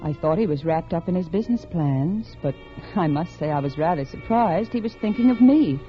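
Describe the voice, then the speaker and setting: A woman's voice: on the low side, animated, normal speed, lightly acted. An actress playing a scheming, unhappily married woman in love, with earnest, dramatic mid-century radio acting. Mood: confiding, calculating. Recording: dull old radio recording with music.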